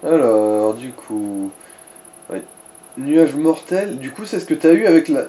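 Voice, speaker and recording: A man's voice: mid-range voice, a little slow, warm tone. A man giving informal, sweary gaming commentary in speech, coaching the player along. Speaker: let's play co-commentator.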